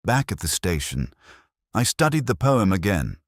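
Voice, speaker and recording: A man reading a scripted first-person detective story in a brooding, measured, literary manner. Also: sits low, very animated, no room sound, clean studio sound. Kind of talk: scripted literary mystery narration.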